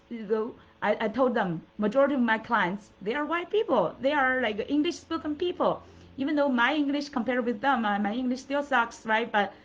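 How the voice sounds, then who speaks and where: A middle-aged woman from China, a native Mandarin speaker, giving an earnest, encouraging motivational talk as coach and host, with many fillers and restarts. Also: a little high. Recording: dull lo-fi audio.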